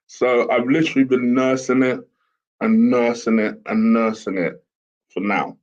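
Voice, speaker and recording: A man, hoarse from a sore throat, hosting a casual solo commentary show in a chatty, rambling way. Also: medium pitch, level tone, unhurried. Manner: chatty, rambling with fillers and restarts. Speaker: host. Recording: dull lo-fi home recording with fan.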